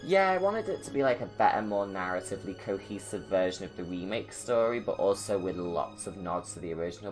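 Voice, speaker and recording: A video creator giving casual, rambling commentary on a film in an enthusiastic, gushing fan's manner. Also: sits low. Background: music.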